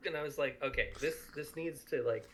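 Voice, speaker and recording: A man's voice: on the high side, brisk, a bit bright, low-quality recording. A man from an audio drama crew, giving casual production commentary in conversation.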